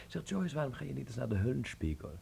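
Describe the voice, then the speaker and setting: An actor playing a young, ironic Dutchman in a radio drama, speaking articulately with a gravelly, husky voice. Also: mid-range voice, very animated, fast, mellow, slight background noise. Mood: teasing. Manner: wry, articulate. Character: young, ironic, sensitive Dutchman living in 1930s Berlin. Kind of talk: radio drama acting and narration.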